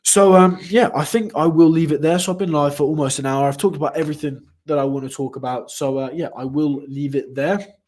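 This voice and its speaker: A man's voice: fairly high pitch, fairly even intonation, brisk, a little mellow. Rambling man hosting a trading stream. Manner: rambling, many fillers and repeated restarts; speaks to chat viewers directly. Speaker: crypto trading livestream host. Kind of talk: casual live stream commentary.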